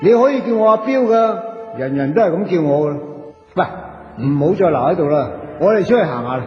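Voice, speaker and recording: An actor with a Hong Kong Cantonese accent playing a cheeky, chatty, poor wandering soul in a radio drama, colloquial, playful and coaxing. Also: male voice, a little high, animated, fairly quick, soft background music, close to an everyday voice. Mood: mischievous, playful. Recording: dull echoey audio with long reverb.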